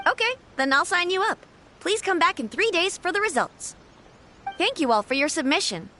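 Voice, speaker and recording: Perky formal shop clerk girl, high and bright, lively. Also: normal speed, a little hiss or hum, exaggerated voice. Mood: polite. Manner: polite, formal. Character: polite young woman clerk at a publishing house, cheerful and formal. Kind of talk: game cutscene voice acting.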